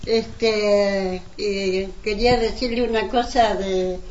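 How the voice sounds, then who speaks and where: A woman's voice: medium pitch, steady tone, slow pace, a little mellow, distant mic, natural voice. A woman with an Argentine accent playing a polite visiting neighbour in an amateur community radio drama. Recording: roomy, dull lo-fi, faint noise.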